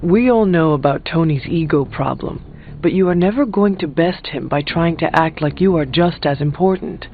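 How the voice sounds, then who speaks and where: Male actor as calm loyal advisor, slightly high voice, formal and measured, earnest, video game dialogue, phone-like sound. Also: soft background music, close to an everyday voice. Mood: earnest, advising. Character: calm, candid male counselor and loyal friend.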